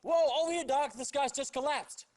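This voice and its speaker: A man's voice: very high-pitched, normal speed, a little mellow. An actor playing an urgent man calling for a doctor at a disaster scene in a video game. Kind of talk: full-motion-video game acting.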